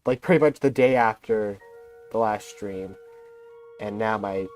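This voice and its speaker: Young male streamer, headset mic, rambling, slightly lower voice, voice a bit off after a cold. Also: unhurried, a little mellow, soft background music. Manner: rambling, self-deprecating, restarts words. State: voice slightly off after a recent illness. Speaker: game streamer. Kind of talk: casual livestream chat.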